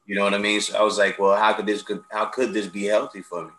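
Brief: low-pitched male host, bright, forceful tone, lively storyteller, echoey room. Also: fast. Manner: animated storyteller, acts out quoted friends. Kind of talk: casual chat, storytelling.

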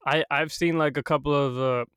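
A young man co-hosting a comedy podcast, blunt and teasing as he makes his points. His voice is a bit high for a man and smooth and resonant. The sound is dry and close. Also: fairly even intonation, a little fast, a bit bright, no room sound. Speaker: podcast co-host. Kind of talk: casual chat.

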